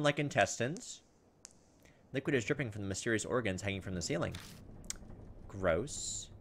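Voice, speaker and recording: A man playing through a game as host, reading its text aloud and dropping in informal, muttered asides. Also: lowish voice. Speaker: let's play host. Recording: steady background noise over the voice.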